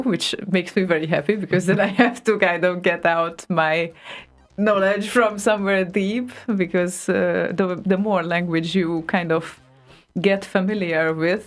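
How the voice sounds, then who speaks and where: A woman co-hosting a live internet radio show, chatting casually with hesitant fillers and frequent laughs. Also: on the low side. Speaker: radio co-host.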